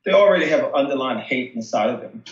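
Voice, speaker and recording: A man's voice: medium pitch, lively intonation, crowd noise. Echoey, muffled American man on a call. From United States. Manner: halting, searching for words. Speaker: interviewee, local resident. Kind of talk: casual phone interview. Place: remote phone call. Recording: dull, echoey phone call.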